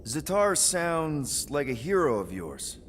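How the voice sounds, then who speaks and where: A man's voice: a little high, sing-song, takes it slowly, a little hiss or hum. A man playing a casual, wry young space-outlaw team leader in a video game cutscene. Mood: wry, sceptical. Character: wisecracking young human male space-outlaw team leader, laid-back.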